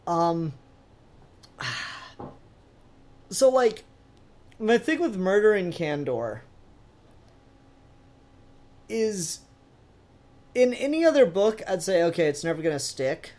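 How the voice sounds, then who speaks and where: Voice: mid-range voice, very animated, takes it slowly, long pauses, darkish tone. A podcast host chatting casually about comic books in a rambling, informal, mildly sweary way. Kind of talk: casual comic book discussion.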